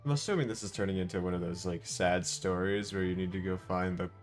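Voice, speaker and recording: A man's voice: low-pitched, steady tone, quick pace, soft background music. A man livestreaming a game with casual, offhand commentary and muttered asides. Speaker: game streamer.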